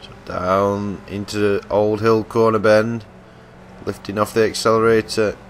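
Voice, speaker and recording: A man giving chatty live commentary on a racing game, his voice croaky and hoarse as he loses it, often restarting his sentences. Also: on the low side, little pitch movement, unhurried, slight background noise.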